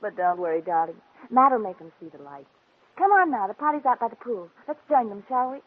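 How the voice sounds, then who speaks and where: Gushy hollywood socialite girlfriend, coaxing, high swoopy voice, old tinny radio drama. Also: expressive, brisk. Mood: doting, reassuring. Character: doting, breezy Hollywood society sweetheart, female. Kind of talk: 1940s radio drama acting. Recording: phone-like old 1940s radio recording.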